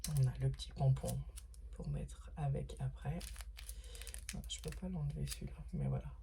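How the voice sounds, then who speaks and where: A middle-aged woman, hoarse this morning, chatting casually through an unboxing with many fillers and restarts, sometimes dropping to a whisper. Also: a bass voice, very animated, moderate pace, mellow, a little hiss or hum. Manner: drops to a whisper at times. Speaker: vlogger unboxing craft supplies.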